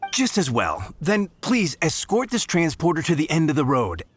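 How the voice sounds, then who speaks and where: Fussy, animated delivery worker. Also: male voice, on the high side, normal speed, a little hiss or hum. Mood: fussy, relieved. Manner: complaining, expressive. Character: fussy put-upon male delivery worker, anxious and grumbling. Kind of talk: video game voice acting.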